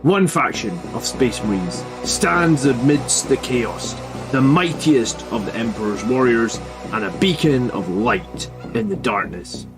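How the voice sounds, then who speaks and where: A man giving a slow, portentous scripted narration for a show intro. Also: medium pitch, animated, over music, low-quality recording.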